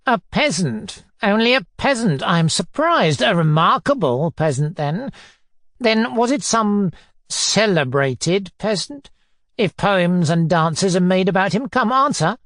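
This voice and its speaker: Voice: mid-range voice, expressive, a little slow, fairly bright tone, no echo, pitched above the performer's own voice. A narrator voicing a pompous, bullying middle-aged man who hectors and sneers, in an audiobook. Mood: mocking, triumphant. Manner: pompous, majestic nonchalance, hectoring questions. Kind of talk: audiobook character dialogue.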